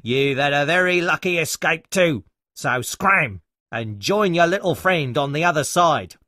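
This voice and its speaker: Storybook troll, male, grumbling and menacing, loud, rough growl, bold swooping delivery, put on by a male reader. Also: fairly high pitch, lively intonation, measured pace, no echo, studio quality, voice pushed up. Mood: grudging. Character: grumpy hungry troll under a bridge, male.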